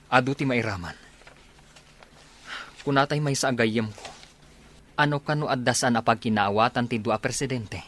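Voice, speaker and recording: A man's voice: medium pitch, moderate pace, long pauses, a bit bright, lightly acted. A man playing a weary, worried war medic in an Ilocano radio drama, with an Ilocano accent. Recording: faint noise behind distant voice.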